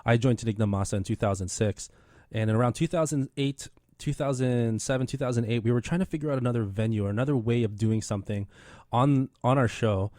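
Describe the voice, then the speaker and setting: A man's voice: mid-range voice, fast talker, a little mellow, dry recording. Rambling male radio host, dry studio sound. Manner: rambling, many fillers and restarts. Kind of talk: casual radio chat.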